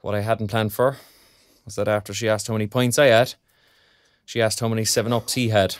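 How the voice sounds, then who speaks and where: A man's voice: fairly low pitch, brisk, no echo, clean studio sound, lightly acted. An actor playing a chatty, put-upon, good-natured younger father in an audio drama, speaking colloquially with an Irish accent. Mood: rueful, animated. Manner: colloquial, animated.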